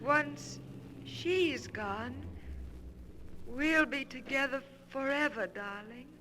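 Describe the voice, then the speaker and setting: A woman's voice: high-pitched, takes it slowly, bright, forceful tone, full character voice. An actress playing a coaxing, sinister ghost woman in a 1940s radio melodrama, on a noisy old recording. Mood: coaxing, possessive.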